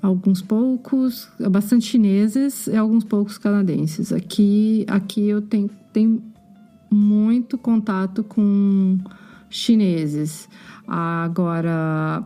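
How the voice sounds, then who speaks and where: A Brazilian woman with a Brazilian accent, a podcast guest chatting casually and frankly, animated and quick to laugh. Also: medium pitch, soft background music. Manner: animated, frank, swears when annoyed, laughs easily. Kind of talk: casual chat.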